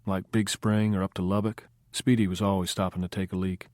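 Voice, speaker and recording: A man's voice: deep voice, level tone, brisk, a little mellow, no echo, clean studio sound, natural voice. A man doing an easygoing West Texas woman. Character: female band singer, friendly, down-to-earth.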